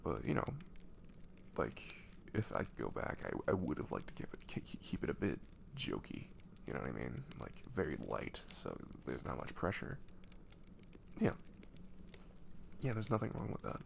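A man playing a gentle, caring boyfriend in an intimate ASMR roleplay, speaking softly and breathily, often half-whispering, with casual, hesitant reassurance. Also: a bass voice, huge pitch swings, moderate pace, vocal fry, pauses often, slight background noise, heavily acted. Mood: gentle, reassuring. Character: caring, gentle young boyfriend comforting his girlfriend.

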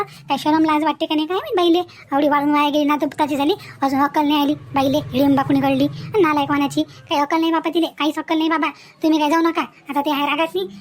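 A woman acts out an outspoken village mother from a cartoon family drama. She talks high and fast in rural Vidarbha Marathi, protective and indignant, hammering her advice home with chatty, emphatic repetition. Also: natural voice. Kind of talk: animated family story dialogue.

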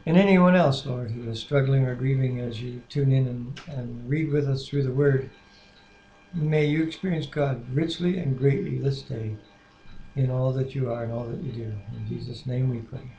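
Gravelly older man in a roomy space. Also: mid-range voice, moderate pace, mellow, muffled recording. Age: 60s. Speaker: co-host of a Bible reading series. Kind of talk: casual devotional talk, prayer and scripture reading. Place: living room at home. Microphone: webcam microphone at arm's length. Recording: roomy webcam audio with faint noise.

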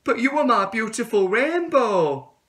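A man's voice: very high-pitched, very animated, measured pace, a bit bright, recorded from a distance, pitched above the performer's own voice, exaggerated voice. A man voicing a lovestruck young male tadpole in a children's storybook, earnest, eager and apologetic. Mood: pleading. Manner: earnest, pleading.

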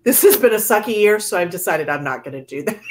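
A woman in her forties from a Cuban family, co-hosting a casual book club chat over a video call, chatty and self-deprecating. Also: medium pitch. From Cuban-American family. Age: 40s. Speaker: book club podcast co-host.

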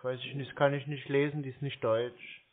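Man, phone call, narrowband sound, halting, grumbling, stalling, medium pitch. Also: flat delivery, very noisy. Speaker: scam baiter posing as a victim on a call.

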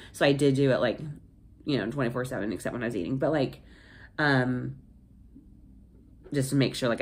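A woman in her thirties chatting casually to camera in a home office, rambling with lots of fillers and restarts, sounding nasal from a cold. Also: rumbling low. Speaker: vlogger. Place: small home office indoors. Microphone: phone camera at desk.

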